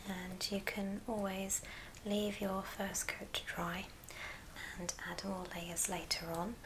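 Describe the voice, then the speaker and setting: Woman whispering asmr craft how-to, soft and slow w pauses, noisy recording with little clicks. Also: medium pitch, flat delivery. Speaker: ASMR craft video host.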